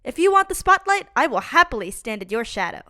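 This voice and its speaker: Lively woman reading a kids' story. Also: sits high, quick pace, no room sound, studio quality. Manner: lively, expressive reading for kids. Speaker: children's book reader. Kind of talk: read-aloud storytime.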